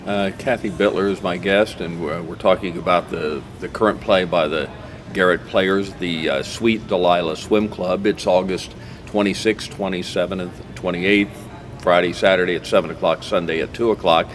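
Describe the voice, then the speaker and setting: A man's voice: deep voice, steady tone, moderate pace. A man hosting a conversational local interview show, talking in a folksy way and recapping details as he goes. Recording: clearly noisy background ambience.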